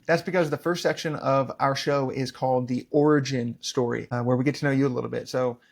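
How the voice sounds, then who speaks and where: A man's voice: mid-range voice, steady tone, fairly quick, gravelly. An American man co-hosting a podcast, chatting casually and asking questions in an informal, slangy way. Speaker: podcast co-host. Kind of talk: casual interview chat.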